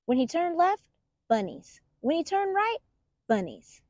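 A woman reading a children's picture book aloud as a storytime host, in an expressive, deliberate storyteller's manner. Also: very high-pitched, dry recording, studio quality.

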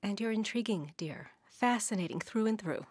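An actress playing a chirpy, affectionate, meddling matchmaker, breezy and coaxing, in a radio drama. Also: female voice, mid-range voice, expressive, normal speed, over loud music, lightly acted. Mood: playful, coaxing. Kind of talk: radio drama dialogue acting.

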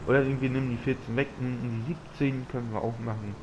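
A man's voice: mid-range voice, fairly even intonation, fast talker. Man with a slight cold, rambling. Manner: rambling, thinking aloud with fillers. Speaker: gaming channel host. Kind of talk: casual let's-play commentary. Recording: audible background noise.

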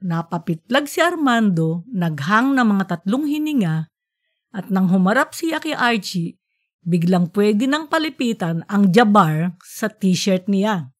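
A woman narrating an audiobook in an expressive storytelling style. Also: mid-range voice, a little slow, dry recording, clean studio sound.

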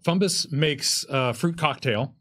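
A man's voice: on the high side, takes it slowly, fairly bright tone, slight background noise. A middle-aged man serves as game master at a tabletop game. He narrates and gives rulings in loose, conversational phrasing, often feeling his way through the wording. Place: indoor studio, seated at a game table.

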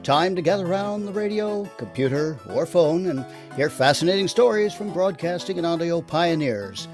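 A man's voice: on the high side, lively intonation, moderate pace. A man announcing a show in a scripted old-time radio introduction style. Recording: music under the voice. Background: music.

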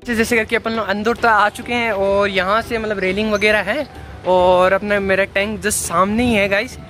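A young man with a fairly high voice walks through town, chatting to his viewers in a loose, repetitive vlog style. He holds his phone camera at arm's length outdoors, and music plays clearly under his voice. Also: steady tone, normal speed. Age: young adult. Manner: chatty, repeats "guys" and "chalo" often. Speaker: travel vlogger. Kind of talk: casual walking vlog narration. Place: outdoors on city streets and a market. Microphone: handheld phone camera at arm's length.

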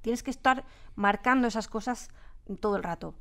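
Slightly high-voiced Spanish woman explaining. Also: normal speed, vocal fry. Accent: Spain. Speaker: radio drama director, interviewee. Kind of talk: explanatory interview chat. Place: radio drama studio.

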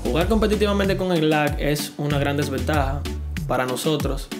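A young man with a somewhat high voice speaks in a calm, matter-of-fact way about his teammates. Music plays clearly under him. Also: fast talker. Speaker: esports player. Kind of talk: documentary interview.

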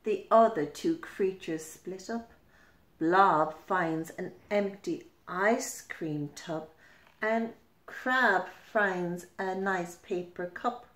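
A middle-aged woman reading a children's picture book aloud, expressive, deliberate and emphatic, in a roomy-sounding home. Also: on the low side. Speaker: storyteller. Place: small furnished room at home. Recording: roomy indoor echo.

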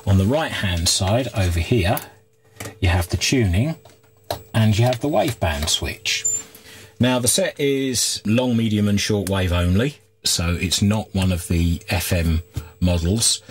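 A man's voice: lowish voice, a little slow, a little hiss or hum. A middle-aged man giving informal, candid hands-on commentary as he presents a repair video. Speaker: host of a radio repair video. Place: small cluttered workshop, indoors.

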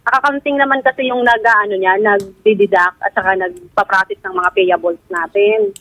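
A woman's voice: mid-range voice, steady tone, moderate pace, a bit bright, no echo, rough recording. A woman with a Filipino accent answering complaints over a call in a hesitant, evasive way. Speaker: HR supervisor, phone-in guest. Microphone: phone call.

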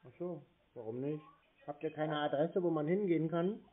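A man's voice: fairly high pitch, normal speed. A man in a casual phone conversation, playing an obtuse customer who stalls and answers with questions. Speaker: prankster playing a clueless customer. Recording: narrow phone-like sound.

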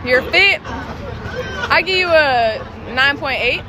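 High-voiced giggly young woman outdoors. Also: animated, slow, crowd noise, poor audio. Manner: giggly, teasing. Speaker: interviewee. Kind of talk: casual street chat. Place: outdoor parking lot at night.

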